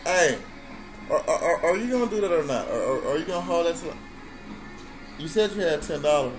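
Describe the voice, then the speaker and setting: A man's voice: fairly high pitch, animated, brisk, poor audio. A man in a room, talking on the phone, pushy and profane, repeating his demands. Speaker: friend on the phone. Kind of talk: casual phone call. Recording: loud music in the room.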